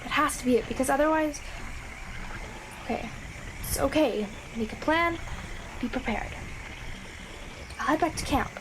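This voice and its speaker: A woman's voice: high voice, moderate pace, pauses often, natural voice. A woman playing a young girl scout alone in a radio drama, childlike and earnest, anxious but determined. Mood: anxious, self-reassuring. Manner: childlike, earnest, self-reassuring. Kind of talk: audio drama monologue as radio transmission. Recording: clearly noisy radio transmission with ambience.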